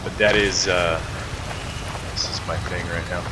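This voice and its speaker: A man's voice: deep voice, fairly even intonation, measured pace, background noise. A man giving casual, rambling commentary while he plays a game, often trailing off mid-thought. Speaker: game streamer. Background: loud game music.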